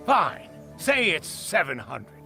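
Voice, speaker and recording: A bitter, hard-nosed game character, with a fairly high male voice and gravelly creak. He is scornful and theatrical, swinging widely in pitch as he challenges his leader, with music clearly behind him. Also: big pitch swings, slow, pushed and bright, exaggerated voice. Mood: pressing, exasperated. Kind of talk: dramatic video game voice acting.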